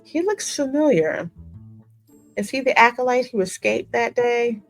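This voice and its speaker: A woman's voice: fairly high pitch, animated, measured pace, soft background music, low-quality recording. A woman hosting a gaming channel, reading game text aloud and musing conversationally as she comments. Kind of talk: casual game playthrough commentary with read-aloud narration.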